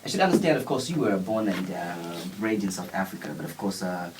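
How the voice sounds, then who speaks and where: A man's voice: low voice, very animated, quick pace. A man hosting a casual radio interview, rambling and laughing often. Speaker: radio host. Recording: distant mic with faint background noise.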